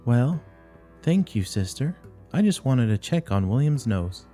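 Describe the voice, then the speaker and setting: A man's voice: medium pitch, very animated, unhurried, dark tone, soft background music, voice pushed up. A man voicing a timid young nun who teaches, speaking in a hesitant, deferential way in a play reading. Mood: meek, earnest.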